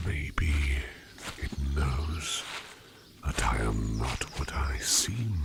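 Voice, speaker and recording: A man playing a courteous, curious sleep demon in disguise, speaking in a breathy, gravelly half-whisper with formal, halting phrasing for an ASMR roleplay. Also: a bass voice, background noise, heavily acted. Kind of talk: ASMR roleplay, personal attention.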